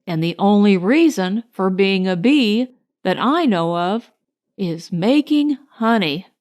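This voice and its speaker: A woman's voice: mid-range voice, lively intonation, slow, a little mellow, voice pushed down. A woman voicing a simple, honey-loving male bear in a storybook, musing earnestly and ponderously.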